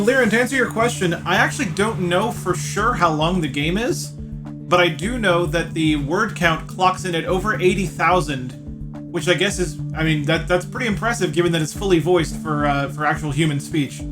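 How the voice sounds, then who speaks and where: A man hosting a livestream playthrough, chatting casually and playfully with viewers. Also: high-pitched. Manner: playful, talks to chat by name. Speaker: livestream host playing a visual novel. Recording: game music behind. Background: game music.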